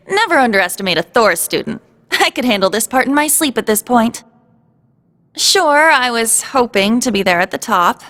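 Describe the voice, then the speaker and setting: A woman's voice: a little high, sing-song, normal speed, a bit bright, big-room sound. An actress playing a spirited, confident young female cadet in a video game cutscene. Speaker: voice actor.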